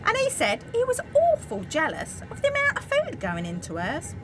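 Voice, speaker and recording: A woman's voice: very high voice, wildly swooping pitch, moderate pace, heavily acted. A woman playing a gossipy, suspicious wartime factory worker in an amateur radio play, chatty and conspiratorial. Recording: loud background noise.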